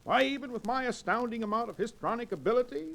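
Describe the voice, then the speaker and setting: An actor playing a pompous, grandiloquent sidekick in an old Western radio drama, speaking in florid, wordy phrases. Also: male voice, very high voice, fast talker, creaky, a bit bright, full character voice. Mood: mock-indignant. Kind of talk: 1940s-1950s radio drama acting.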